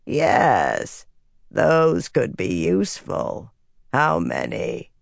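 An audiobook narrator voicing a menacing, commanding female servant of evil with a slow, rasping, growling hiss. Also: medium pitch, huge pitch swings, no echo, studio quality, full character voice. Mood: calculating, stern. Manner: slow, imperious, curt. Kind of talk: audiobook character voice.

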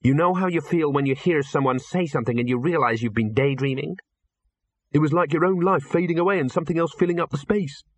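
A man voicing a cool, sharp-tongued young woman with a disdainful manner in an audiobook story. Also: on the high side, fast, no echo, voice pushed up, lightly acted. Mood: uneasy. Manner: cold, clipped disdain.

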